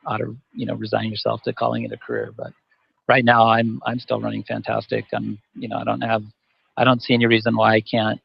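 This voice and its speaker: A man's voice: fairly low pitch, completely flat delivery, brisk, creaky, breathy edge, dry recording. A man in his fifties answering on a panel, rambling and restarting his sentences often. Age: 50s. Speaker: masters runner guest. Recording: dull dry audio.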